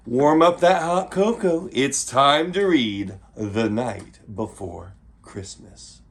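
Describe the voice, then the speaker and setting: A man hosting a read-aloud for children, reading expressively and adding playful, teacherly asides and questions. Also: on the high side. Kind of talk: storybook read-aloud with asides to young listeners.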